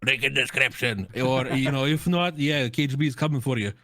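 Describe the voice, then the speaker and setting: Man, Russian accent, native Russian speaker, medium pitch, casual streamer chat, joking exaggeration, dry recording. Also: a little fast, no room sound. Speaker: guest, game streamer and content creator. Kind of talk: casual chat, giving opinions on game audio.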